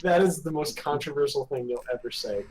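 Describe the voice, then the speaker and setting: A man's voice: on the high side, a little fast, a loud crowd, poor audio. A man co-hosting a podcast in casual group chat, joking and teasing. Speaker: podcast co-host.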